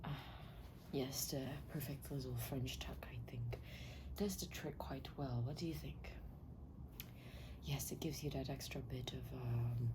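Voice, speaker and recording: Casting: woman, low register, soft breathy ASMR delivery drifting into whisper, polite and unhurried personal stylist character; noticeably noisy recording. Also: fairly even intonation. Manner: gentle, polite, attentive, unhurried. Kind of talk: soft-spoken ASMR roleplay.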